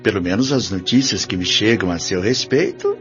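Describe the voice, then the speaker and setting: A man's voice: fairly low pitch, lively intonation, normal speed, natural voice. An actor with a Brazilian accent playing a kindly but firm male minister in an audio drama, formal and authoritative. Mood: genial. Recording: dull lo-fi audio with music bed.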